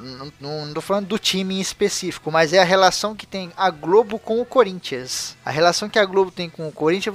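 A man's voice: sits high, fairly bright tone. A man from Brazil co-hosting a podcast, chatting loosely, swearing now and then and reading listener emails aloud. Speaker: podcast co-host. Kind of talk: casual chat.

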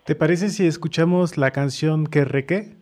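A man's voice: a little high, normal speed, darkish tone. A man with a Mexican accent hosting a radio interview in a conversational style. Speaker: radio host.

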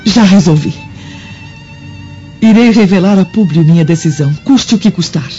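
A woman's voice: on the low side, moderate pace, mellow, close to an everyday voice. An actress playing an anguished, pious Roman noblewoman in a Brazilian Portuguese radio drama, earnest and formal. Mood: resolute. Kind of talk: dubbed radio drama acting. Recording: dull lo-fi audio with music underneath.

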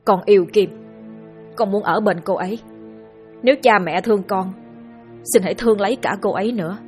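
A woman voicing a desperate young son who begs his parents and stands up to them, with a breathy voice and faint music behind. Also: mid-range voice, brisk, a little mellow, natural voice. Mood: pleading. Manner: pleading, resolute.

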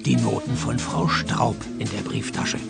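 An actor playing a wry, sardonic private detective in a radio play, with a dry, deadpan, mocking delivery. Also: male voice, mid-range voice, a little slow, creaky, loud music behind the voice. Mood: wry narrating. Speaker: lead actor and narrator. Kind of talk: radio detective play, scripted narration and dialogue.

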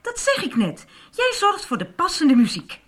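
A bubbly bohemian artist mother, high-pitched and very animated. She is playful and theatrical in the manner of a radio soap. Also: normal speed, exaggerated voice.

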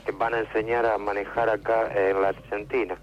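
An actor playing a rambling, easily offended eccentric who phones in to a radio comedy show. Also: male voice, lowish voice, little pitch movement, fairly quick, muffled recording, lightly acted.

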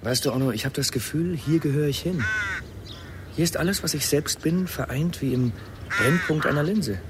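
An actor playing an earnest, intense astronomer in a German radio drama, speaking emphatically and reflectively. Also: male voice, fairly high pitch, moderate pace, background noise, natural voice. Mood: moved, reflective. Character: Max, earnest astronomer man, brooding and intense.

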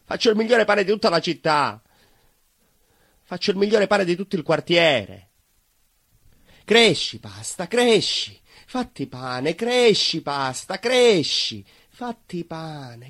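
An actor playing an anguished, naive baker with a southern Italian accent, confessing his shame in an intense, halting theatrical monologue full of obsessive repetition. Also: sits high, big pitch swings, a bit bright, breathy edge, no room sound, heavily acted. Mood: frantic, proud chanting. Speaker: stage actor.

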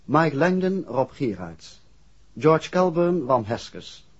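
A man's voice: a little high, sing-song, slow, slightly rough. A man with a Dutch accent formally announcing credits as a 1960s radio announcer. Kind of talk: formal read cast credits. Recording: muffled 1960s radio recording.

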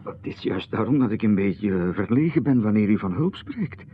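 An actor playing a polite, nervously apologetic young artist in a radio drama, hesitant and stammering. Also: male voice, on the low side, animated, normal speed, lightly acted. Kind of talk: 1990s radio drama acting. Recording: lo-fi radio broadcast, phone-like band.

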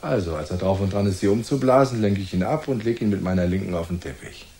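An actor playing a gruff, bossy, self-serving police detective in a noir radio drama, blunt and slangy. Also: male voice, low-pitched, fast, warm tone. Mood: bossy, scheming. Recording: echoey room.

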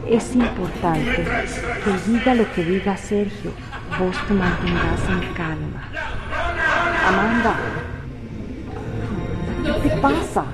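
A woman's voice: mid-range voice, animated, slow pace, pauses often, loud music behind the voice. A Costa Rican woman playing a firm, loyal lawyer friend in a radio drama, encouraging and insistent. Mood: reassuring, firm.

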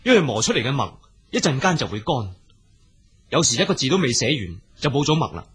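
A man acting an earnest, husky young scholar. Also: mid-range voice, very animated, a little fast, fairly bright tone, full character voice. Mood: earnest, polite. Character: earnest, polite poor young male scholar of a frontier town. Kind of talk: Cantonese storytelling radio drama acting.

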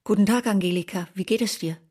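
A woman's voice: medium pitch, quick pace, a little mellow, slightly rough, close to an everyday voice. An actress playing a withdrawn woman survivor in radio drama dialogue, speaking in blunt, clipped phrases. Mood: curt, withdrawn. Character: curt, withdrawn woman survivor back from camp.

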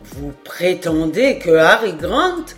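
A woman voicing a sceptical, proud British lord with a forceful, indignant manner in an audiobook. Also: on the low side, big pitch swings, takes it slowly, faint music behind, full character voice. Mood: incredulous. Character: incredulous, proud British lord challenging a scholar. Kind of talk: expressive audiobook character reading.

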